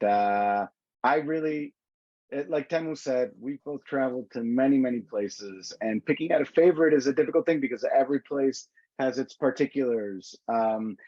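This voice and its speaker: A man's voice: mid-range voice, a little mellow, no room sound. A man walks viewers through trip prices on a webinar, loose and conversational, full of ums and false starts. His voice comes over a dull, lo-fi video call and sounds dry, with no room around it. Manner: casual, many fillers and restarts. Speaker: tour organizer. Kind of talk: webinar presentation. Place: indoors in a city.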